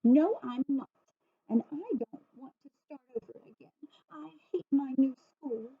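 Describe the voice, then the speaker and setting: A woman voicing a sulky, anxious young schoolgirl in a storybook, with drawn-out whiny protests. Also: high-pitched, lively intonation, voice pushed up. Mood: defiant. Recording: phone-like, muffled thin audio.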